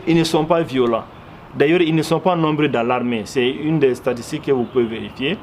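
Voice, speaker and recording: A man's voice: mid-range voice, fairly quick, a little mellow. A man with a Malian accent giving earnest, explanatory interview answers, often repeating words for emphasis. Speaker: interviewee, commentator. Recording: clearly noisy background ambience.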